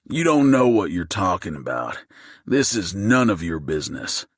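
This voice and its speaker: A man voicing a menacing, desperate gunman in an audiobook, gruff and angry. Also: sing-song, normal speed, dry recording, studio quality, heavily acted. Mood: bitter, gruff. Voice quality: low, gruff. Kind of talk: audiobook character acting.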